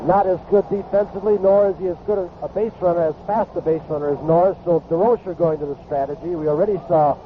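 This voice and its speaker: A man's voice: high-pitched, steady tone, quick pace, hardly any pauses, phone-quality sound. A man giving live analysis as a baseball commentator on a vintage radio broadcast. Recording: noisy band-limited vintage radio broadcast.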